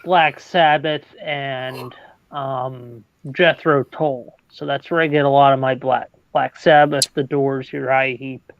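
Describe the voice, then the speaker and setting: A man's voice: mid-range voice, fairly even intonation, slow pace, gravelly, no echo. A man in his late twenties hosting a casual livestream chat, stumbling and repeating words and laughing often. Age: 20s. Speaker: livestream host. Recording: dull lo-fi, dry.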